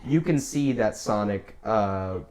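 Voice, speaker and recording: A man's voice: lowish voice, slow, a little mellow, a little hiss or hum. A man co-hosting a casual film chat, talking in a rambling, enthusiastic fan's way. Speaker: podcast co-host.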